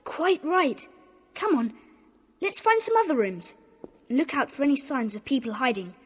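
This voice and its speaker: A woman playing a bossy, sensible schoolboy gang leader with a brisk, commanding manner in a children's audio drama. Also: high voice, big pitch swings, in a large hall, a little hiss or hum. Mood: commanding, wary.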